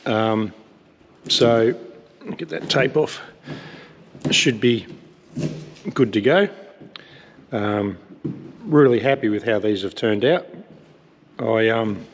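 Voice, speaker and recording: A man casually talking through his aircraft build as he works, thinking aloud with plenty of hesitations. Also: medium pitch. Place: large metal hangar workshop. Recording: echoey hangar, faint background noise.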